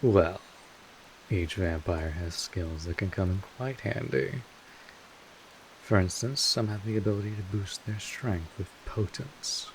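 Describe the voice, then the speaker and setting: A low-voiced vampire mentor, acted out by a man, talks slowly over faint hiss and rain-like noise. He is gruff but caring, rambling through his explanations in a streetwise, informal manner, like a weary guide in an audio drama. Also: poor audio. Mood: instructive, matter-of-fact. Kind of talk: scripted audio roleplay addressed to the listener.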